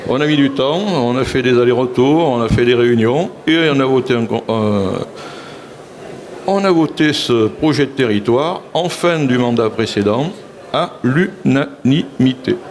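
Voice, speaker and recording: A man's voice: mid-range voice, normal speed. A man, a local elected official, speaking assertively in a public panel talk and often saying "si vous voulez".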